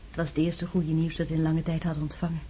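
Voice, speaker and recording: An actress playing a grave, kind noblewoman in mourning, speaking softly and earnestly in a radio drama. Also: deep voice, level tone, slight background noise, lightly acted. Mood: tender. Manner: soft, earnest and measured.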